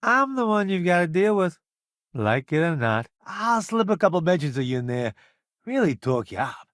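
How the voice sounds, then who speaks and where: An actor playing a wry, self-promoting food columnist turned space crewmate in a video game, breezy and full of sardonic wisecracks. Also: male voice, high voice, very animated, moderate pace, fairly bright tone, dry recording, studio quality. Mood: boastful.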